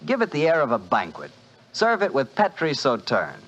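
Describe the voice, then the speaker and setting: A male announcer reading a smooth, genial wine commercial and hosting a 1940s radio show. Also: mid-range voice, wildly swooping pitch, moderate pace, a bit bright. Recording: dull 1940s radio broadcast.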